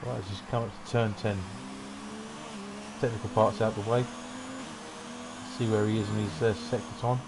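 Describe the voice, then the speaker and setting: A man commentates a sim racing qualifying session in a relaxed, meandering way, with self-deprecating asides. His voice sits on the low side. A clear hum of background noise runs under the recording. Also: normal speed, pauses often. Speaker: race commentator.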